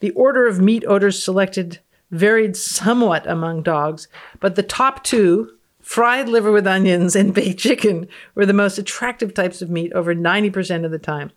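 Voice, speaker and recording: Wry woman with a mid-pitched voice. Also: normal speed. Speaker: podcast guest reading a research paper aloud. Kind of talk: reading research aloud with casual, unscripted reactions.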